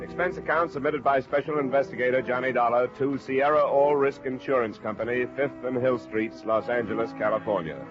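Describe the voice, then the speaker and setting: A man's voice: medium pitch, steady tone, brisk, few pauses, lightly acted. An actor playing a wry, businesslike male insurance investigator in a 1950s radio detective drama, with dry, clipped delivery. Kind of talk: 1950s radio detective acting and first-person narration. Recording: muffled old radio recording with music.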